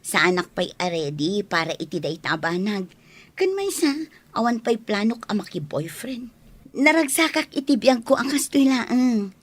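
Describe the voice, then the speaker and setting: A woman's voice: mid-range voice, very animated, normal speed. An actress with an Ilocano accent playing a sincere, devoted young single mother in a radio drama. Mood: earnest.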